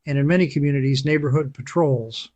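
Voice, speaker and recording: A man reading a scripted voice-over as channel host, persuasive and emphatic, slowing down to stress key phrases. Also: medium pitch, a little mellow, no echo.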